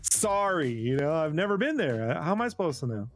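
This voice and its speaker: A man's voice: on the high side, very animated, a little fast. A middle-aged man with a Southern California accent, bantering casually and jokingly in slangy, profane talk peppered with "dude" and "man". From Southern California, United States. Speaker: host of a sketching show.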